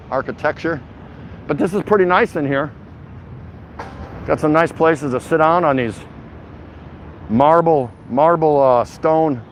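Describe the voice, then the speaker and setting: A man hosting a casual livestream as he walks outdoors, rambling along in an easygoing, chatty, jokey way to his viewers. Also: fairly high pitch. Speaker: vlog host livestreaming a walk. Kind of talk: casual rambling walking commentary to live chat. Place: outdoors, walking in a city bayside area. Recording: dull lo-fi outdoor mobile audio, clear traffic noise. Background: passing vehicles and urban outdoor noise.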